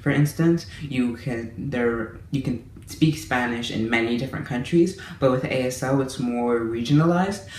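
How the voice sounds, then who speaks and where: A man giving a casual monthly language-learning update to his viewers, talking in a friendly, chatty way with small restarts. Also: medium pitch. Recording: roomy echo with faint room noise.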